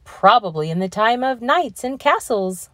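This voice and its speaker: A woman's voice: fairly high pitch, expressive, normal speed, fairly bright tone, dry recording, studio quality. A woman voicing plucky, aggrieved living alphabet letters from a storybook with dramatic, emphatic delivery.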